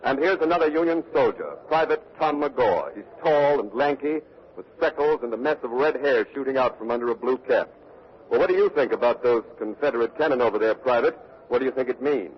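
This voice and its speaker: A man's voice: mid-range voice, fairly quick, slightly rough, lightly acted. An actor playing an earnest male war correspondent, formal and urgent, in a 1940s radio news dramatization. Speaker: radio reporter and interviewer. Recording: dull vintage radio broadcast recording.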